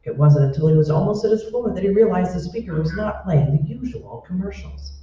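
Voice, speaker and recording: A man's voice: sits high, animated, brisk, dark tone. A man narrating a scripted old-time radio drama. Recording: very echoey hall, distant mic, muffled.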